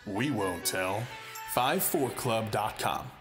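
A man's voice: fairly low pitch, lively intonation, slow. A man voicing a friendly, casual announcer in a fashion commercial. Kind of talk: advertisement voice-over. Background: guitar music.

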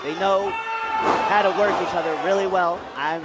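A man's voice: high-pitched, steady tone, normal speed. A man giving informal, conversational color commentary at a live wrestling match. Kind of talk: casual live sports commentary. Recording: loud crowd and venue noise behind. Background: wrestling crowd, ring slams.

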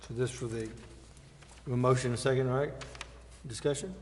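An American man with a middle-pitched voice chairs a civic meeting. He moves informally between comments and agenda items. The room adds long reverb and faint noise. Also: steady tone, normal speed, darkish tone. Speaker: mayor chairing a council meeting. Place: indoor council chamber.

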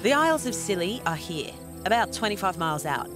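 A woman's voice: medium pitch, sing-song, a little fast, music in the background, lightly acted. A woman playing a sly treasure hunter who teases the others, in a fan audio drama. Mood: mocking. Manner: sly, teasing.

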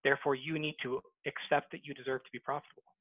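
A man's voice: mid-range voice, level tone, quick pace, dry recording. A man speaking as an interview guest over a headset on a video call. He argues persuasively and comes back to his core point again and again. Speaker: guest, book author. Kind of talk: interview answers. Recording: dry, tinny phone-like call audio.

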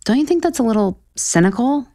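A woman's voice: on the high side, lively intonation, a little fast, mellow, lightly acted. A woman playing a sarcastic, exasperated author in a romantic comedy audio drama. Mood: weary, incredulous. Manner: incredulous, sardonic.

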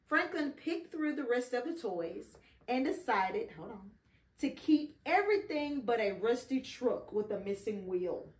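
A middle-aged woman reading a children's story aloud expressively, pausing to prompt and encourage a child. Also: a little high, very animated. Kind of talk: children's read-along storytelling. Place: indoor living room beside a Christmas tree. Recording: roomy, echoing home recording.

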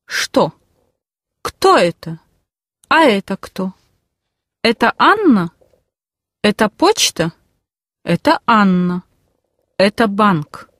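Female course reader, mid pitch, slow and carefully enunciated, dry studio sound. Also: fairly bright tone, dry recording. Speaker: language course voice. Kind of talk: scripted language lesson, slow clear reading.

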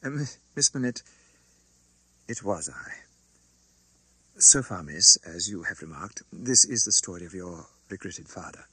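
A man's voice: low-pitched, animated, a little slow, pauses often, bright, forceful tone, breathy edge, no room sound, voice pushed down, heavily acted. A man voicing a fussy, flustered, kindly old English banker in an audiobook, formal and businesslike, with a hesitant stammer. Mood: earnest, agitated. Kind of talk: dramatised audiobook reading.

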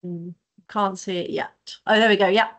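A woman's voice: mid-range voice, fairly even intonation, normal speed. A woman hosting a community video call, thanking speakers and handing over in an appreciative, encouraging way. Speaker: host.

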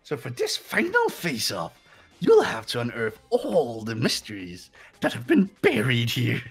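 A gleefully sadistic bear mascot villain from a game. The voice is very husky and creaky, drawling slowly with theatrical, taunting relish. Faint music plays underneath. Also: medium pitch, wildly swooping pitch, exaggerated voice. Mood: menacing playful. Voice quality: raspy, gravelly. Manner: theatrical, taunting, giggling, pun-happy. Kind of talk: character voice acting in a game fan dub.

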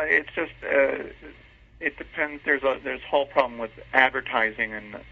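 Male interview guest, medium pitch, casual, self-deprecating, hesitant delivery, lo-fi telephone audio. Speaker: interview guest, radio drama producer. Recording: dull phone-line sound.

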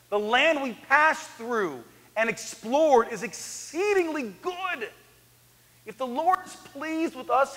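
Man, 30s, preacher, animated sermon, high voice, echoey church hall. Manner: animated, paces the stage, swings from loud to hushed. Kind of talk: lively sermon. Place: church sanctuary, large, indoors.

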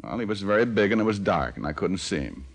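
An American actor playing a flippant, wisecracking private detective with dry delivery in an old radio drama. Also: male voice, low voice, sing-song, fast talker, creaky, heavily acted. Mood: sheepish, wry. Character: wisecracking, down-on-his-luck private detective man. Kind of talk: 1940s-50s radio detective acting.

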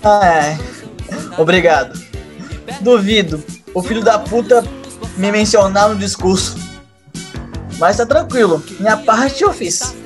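A man's voice: high-pitched, unhurried, pauses often, over music. A man playing a resentful, worldly campaign fixer in an audio drama, speaking bluntly.

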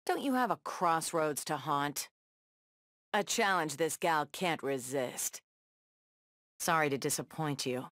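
A woman's voice: deep voice, slow, pauses often, fairly bright tone, no echo, studio quality. A woman playing a tough, sassy superheroine in a video game, trading confident, defiant taunts and wry one-liners. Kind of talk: video game battle banter.

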